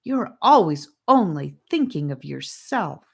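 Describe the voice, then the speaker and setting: A woman's voice: fairly low pitch, sing-song, a little slow, gravelly, husky, exaggerated voice. Bossy little girl voice, woman reading, reminds ppl. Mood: scolding. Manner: protesting, reminding. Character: caring scolding young girl.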